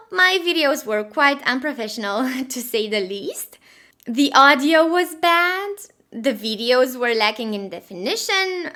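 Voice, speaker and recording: A woman's voice: high-pitched, fairly bright tone. A woman in her thirties with a Romanian accent, a language teacher hosting her channel and narrating a cheerful, grateful look back at its history. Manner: cheerful and grateful, upbeat delivery. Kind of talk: anniversary video narration.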